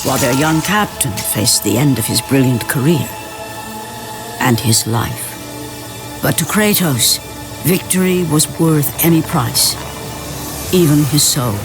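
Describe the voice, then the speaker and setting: An actor narrating a warrior's tragic legend as a solemn epic storyteller in a video game, with grave, portentous, measured phrasing. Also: male voice, a little high, over loud music. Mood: grave, ominous.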